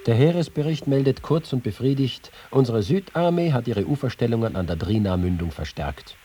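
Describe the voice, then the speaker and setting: A man's voice: mid-range voice, lively intonation, brisk, dark tone, breathy edge. An actor playing a reporter who reads his war notes and letters in a dramatic literary style. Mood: grave, observant.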